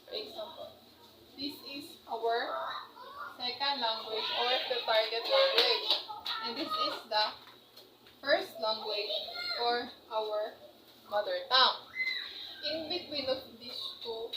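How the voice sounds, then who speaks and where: A woman's voice: a little high, sing-song, slow pace, pauses often, echoey room, noisy background. A young Filipino woman whose first language is Cebuano, giving a class presentation and explaining ideas with examples. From Philippines. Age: young adult. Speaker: student presenter.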